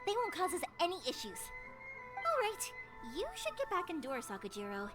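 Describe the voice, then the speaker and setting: A bubbly, talkative young fireworks maker in a game. Her voice sits very high and swings in lively intonation, breezy and reassuring, with prominent music playing behind it. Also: normal speed, heavily acted. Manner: breezy, reassuring, eager to help. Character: chatty, upbeat young woman who makes fireworks. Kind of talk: animated video game voice acting.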